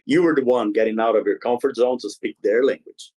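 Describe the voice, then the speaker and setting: A man's voice: medium pitch, fairly quick, a little mellow. A man whose first language is Portuguese, talking earnestly as a podcast guest, with some non-native phrasing. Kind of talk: casual interview talk.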